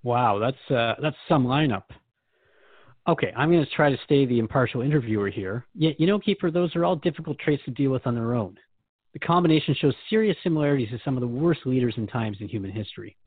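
A man's voice: mid-range voice, expressive, fast talker, breathy edge, dry recording, phone-quality sound. A man playing a curious, deferential interviewer, speaking in a polite, slightly hesitant conversational way in a scripted podcast. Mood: concerned. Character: Jeff, curious, deferential male human interviewer.

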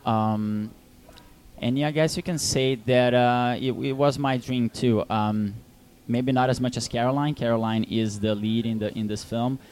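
A man's voice: medium pitch, steady tone, measured pace, darkish tone, clean tone. A young Brazilian man with a Brazilian Portuguese accent, a film director answering interview questions, hesitant with many fillers and restarts.

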